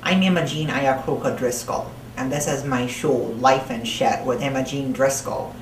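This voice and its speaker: Woman doing a foul-mouthed old broad hosting her own show, real low voice, weird accent, roomy echo. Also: normal speed. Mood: brash. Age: 80s. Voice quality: low-pitched, with an odd accent. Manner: brash, foul-mouthed, rambling, deadpan outrageous. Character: crude elderly woman talk-show host. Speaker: talk-show host. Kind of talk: comedic monologue. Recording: roomy with faint hiss.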